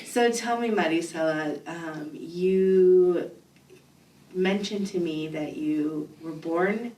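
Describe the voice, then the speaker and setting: A woman's voice: lowish voice, slow, dark tone, slight background noise. A woman interviewing for an oral history project, encouraging, with short affirmations. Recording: echoey room, mic off at a distance.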